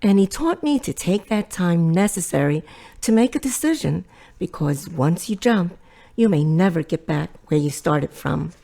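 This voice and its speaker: Woman acting a fussing mother, swooping, creaky voice. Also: on the low side, expressive, normal speed, mellow. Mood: grave, reflective. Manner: fussing, maternal, probing. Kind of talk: audio drama acting.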